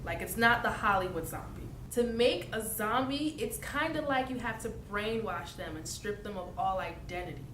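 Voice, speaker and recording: A young woman explains things in a casual, storytelling way, with fillers along the way. She is recorded from a distance in an echoey room. A faint rumble sits underneath. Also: animated, moderate pace, few pauses. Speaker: interviewee. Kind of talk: casual interview answers.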